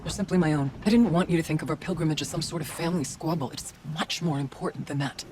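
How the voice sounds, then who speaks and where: A woman playing a solemn, reserved spiritual tribeswoman in a video game, speaking in a measured, guarded, grave way. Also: deep voice, background noise, natural voice. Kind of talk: restrained video game acting.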